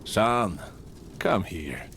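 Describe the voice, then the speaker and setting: A kindly but firm father from a fairy-tale game. His voice is very deep with wide swings in pitch, over faint background noise. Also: expressive, extremely slow, a bit bright, heavily acted. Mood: paternal, firm. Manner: paternal, instructing. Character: kindly, authoritative father, a woodland cabin dweller.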